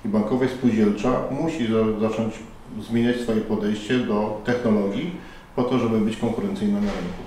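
A man's voice: lowish voice, fairly even intonation, normal speed, warm tone, slight background noise. A middle-aged man giving earnest, persuasive answers as a conference panel moderator, at a conference. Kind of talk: formal interview answers. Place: conference hall by a banner. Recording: echoey room from far off.

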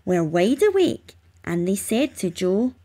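A woman reading rhyming children's narration aloud, expressively and with measured emphasis. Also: mid-range voice, big pitch swings, dark tone. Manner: measured, emphatic on sound words. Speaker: storybook reader.

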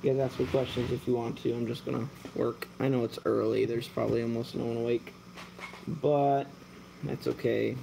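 Brief: relaxed thirties guy, gravelly low-key voice, rambling livestream chat with a faint hum of room noise. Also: normal speed. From born in Germany, raised American. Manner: rambling, relaxed, talks while working, many fillers. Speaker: mantis breeder and shop owner. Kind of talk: casual live stream Q&A. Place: dim indoor shop at night.

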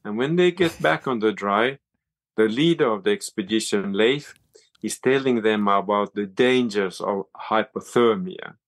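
Halting Finland-Swedish man, low and dry. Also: no echo, poor audio. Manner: halting, many restarts and fillers. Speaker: guest, yoga and cold-water teacher. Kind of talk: casual interview talk.

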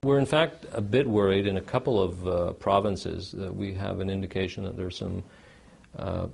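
Older man with a gravelly, low voice. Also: steady tone, moderate pace, a little mellow. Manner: hesitant, stumbling. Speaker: intelligence official. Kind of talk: interview answer.

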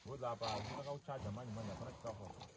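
A man talks fast in short, casual instructions, moving between languages as he goes. He is outdoors in the bush. Loud outdoor noise runs under his voice the whole time. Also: medium pitch. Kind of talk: casual talk, switching languages.

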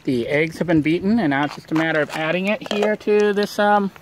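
A man's voice: sits high, brisk, slight background noise. A man vlogging outdoors beside a van, talking casually through his cooking with lots of fillers. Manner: relaxed, rambling with many fillers. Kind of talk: casual how-to narration while cooking.

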